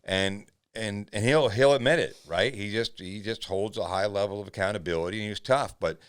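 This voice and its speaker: A man's voice: a little fast, smooth. A male podcast host, chatting casually with a guest. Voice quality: booming voice. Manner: frequent brief agreements, thoughtful. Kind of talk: casual interview chat.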